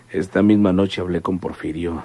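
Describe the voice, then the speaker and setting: An actor with a Mexican accent playing a carefree, flirtatious fugitive in a radio serial. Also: male voice, deep voice, steady tone, unhurried, a little mellow. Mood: jovial, flirtatious. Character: carefree flirtatious man on the run.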